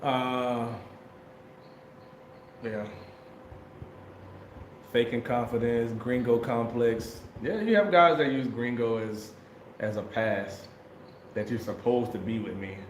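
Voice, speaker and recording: A man's voice: medium pitch, slow, pauses often. An American man hosting a casual livestream, talking loosely in slang and laughing often. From United States. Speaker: livestream host. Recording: echoey room with faint noise.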